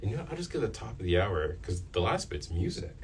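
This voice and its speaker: A man's voice: low-pitched, sing-song, brisk. A man hosting a live interview on student radio. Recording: distant mic with faint noise.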